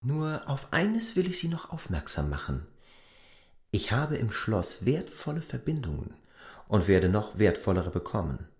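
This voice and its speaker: An actor playing a self-assured land surveyor in a radio drama, speaking formally and insistently. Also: male voice, fairly low pitch, very animated, unhurried, darkish tone. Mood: insistent persuasion.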